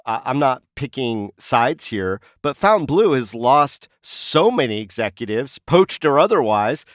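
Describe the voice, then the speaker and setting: A man's voice: sits high, a bit bright, no echo, studio quality. A man co-hosting a casual panel discussion, speaking in an opinionated way and posing rhetorical questions. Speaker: podcast co-host.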